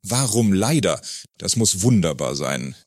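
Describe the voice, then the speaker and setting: An actor playing a curious, eager Earthling in a satirical radio play, with a theatrical delivery. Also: male voice, low-pitched, very animated, measured pace, darkish tone, dry recording, clean studio sound, full character voice. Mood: incredulous. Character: curious, eager male Earthling questioning an alien.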